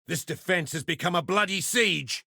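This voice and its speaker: An actor playing a gruff, sardonic fighter in a video game, speaking in blunt, colloquial barks. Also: male voice, high voice, normal speed, bright, forceful tone, no echo, studio quality, full character voice. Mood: determined.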